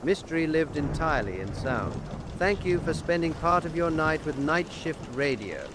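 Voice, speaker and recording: A man's voice: a little high, animated, moderate pace. Male narrator over noisy ambience. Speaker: radio drama show host. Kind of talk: scripted atmospheric narration. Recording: clearly noisy outdoor-like ambience under voice.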